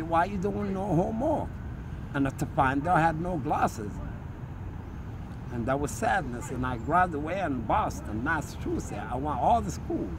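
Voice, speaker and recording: A middle-aged man from Morocco with a Moroccan accent, talking in an agitated, rambling, repetitive way to a street camera. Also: a little high. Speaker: street interviewee. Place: city street outdoors. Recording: outdoor street with loud traffic noise.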